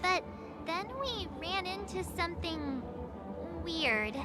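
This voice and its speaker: A woman's voice: very high-pitched, animated, takes it slowly, exaggerated voice. A woman voicing a cheerful, chirpy young girl adventurer in a video game. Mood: chatty. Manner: chirpy, giggly, sheepish. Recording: game dialogue over loud music.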